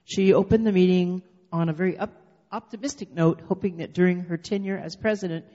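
Woman, secretary, reading minutes, mellow dark tone, lo-fi hall recording. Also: fairly low pitch, fairly even intonation, fairly quick. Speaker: club secretary. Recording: muffled echoey hall sound.

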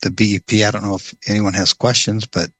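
A man presenting a program at a meeting, speaking in a halting way with many pauses and restarts. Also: fairly low pitch, little pitch movement, fairly bright tone, husky, dry recording. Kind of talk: informal briefing.

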